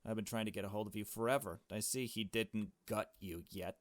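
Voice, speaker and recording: A man reads the part of a brash young woman from a visual novel, blunt and bossy with her friend. She swears freely and takes charge, in a fairly low, dry-recorded voice. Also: fairly even intonation, brisk, no echo, lightly acted. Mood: urgent, bossy.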